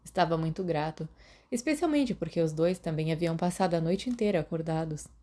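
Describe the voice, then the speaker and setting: A woman with a Brazilian accent, calmly reading a fan fiction audiobook aloud. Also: sits low, a little fast. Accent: Brazilian Portuguese. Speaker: podfic reader.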